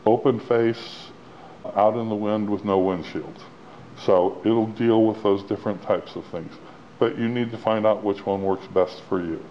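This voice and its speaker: A man giving a plain-spoken, step-by-step instructional walkthrough of a product as its demonstrator. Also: on the low side. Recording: dull lo-fi sound with clear background noise.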